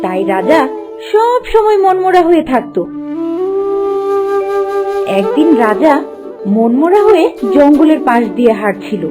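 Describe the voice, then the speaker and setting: A woman with a very high voice narrates a fairy tale. Her storybook delivery is expressive and animated, with clear music playing underneath. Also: measured pace, pauses often.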